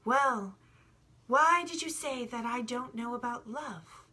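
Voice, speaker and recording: A woman voicing the sun as a wise, aloof, ancient being in a measured storybook read. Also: fairly high pitch, bright, forceful tone, pitched above the performer's own voice, exaggerated voice. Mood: questioning.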